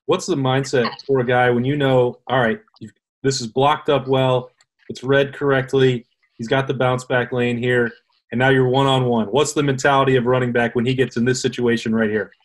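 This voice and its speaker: A man's voice: medium pitch, little pitch movement, moderate pace. A man in his thirties hosting a casual sports interview, setting up questions and video clips in a conversational way. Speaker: host, sports reporter. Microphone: video call.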